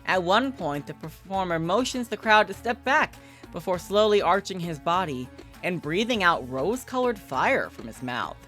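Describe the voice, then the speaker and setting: A woman's voice: fairly low pitch, sing-song, normal speed, a bit bright, faint music behind. A woman livestreamer reading a visual novel aloud and commenting casually.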